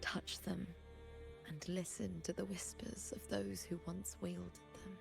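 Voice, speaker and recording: A woman's voice: lowish voice, flat delivery, a little slow, gravelly. A woman voicing a proud, commanding warrior leader of a city in a video game, with music behind her. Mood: solemn, reflective. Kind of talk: video game story voice acting.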